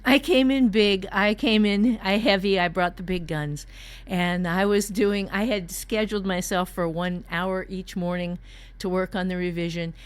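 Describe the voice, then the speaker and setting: A woman's voice: medium pitch, clean tone. An older woman, a writing teacher, talking casually on a podcast in a candid, emphatic, salty way.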